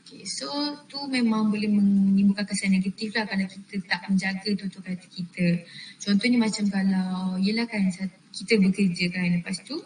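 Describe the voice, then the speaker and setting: A woman's voice: medium pitch, fairly even intonation, moderate pace, a little mellow, slight background noise. A young Malaysian woman giving a casual class presentation, chatty and addressing her listeners directly. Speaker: trainee presenter. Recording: echoey room, from far off.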